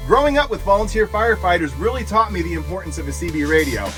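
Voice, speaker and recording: A man's voice: high voice, animated, fast talker, a bit bright, soft background music. A man hosting a product review video, talking to viewers in short, simple explanatory phrases. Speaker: host of a gear review channel.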